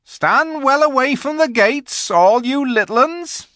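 Big good-natured simple giant, animated. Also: male voice, very high voice, unhurried, no echo, clean studio sound, pitched above the performer's own voice, heavily acted. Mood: eager, deferential. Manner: rustic, ungrammatical, deferential speech.

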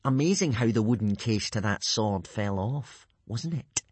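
A man's voice: fairly low pitch, animated, a little slow, a little mellow, husky, no room sound. Man acting a sneering, drawling teenage Viking bully. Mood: menacing. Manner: drawling, mocking, self-satisfied. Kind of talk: children's audiobook character acting.

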